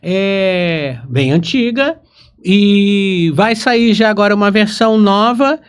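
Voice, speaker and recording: Male podcast host, Brazilian accent, notably high voice. Casual, curious, reactive questioning. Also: takes it slowly, clean tone. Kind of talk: casual conversational interview.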